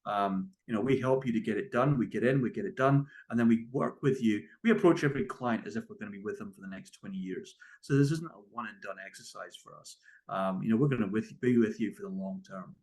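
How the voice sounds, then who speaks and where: A Scottish man with a fairly low voice speaks as a business founder being interviewed. He talks conversationally but with emphasis, repeating key points and checking in as he goes. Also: fast, darkish tone, low-quality recording. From Scotland. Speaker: guest, tech company founder and CEO.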